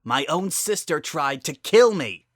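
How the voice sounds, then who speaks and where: A man voicing a furious, bitter female pegasus pony, accusing her sister in forceful outbursts, in a dramatic story reading. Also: a little high, sing-song, unhurried, dry recording, clean studio sound, voice pushed up. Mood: angry accusing.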